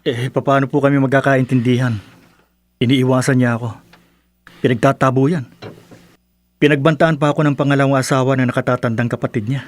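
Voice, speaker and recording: Male actor as jilted young man, radio drama; medium pitch, stubborn, pleading, aggrieved. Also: fairly even intonation, fairly quick, long pauses, lightly acted. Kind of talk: Filipino radio drama acting.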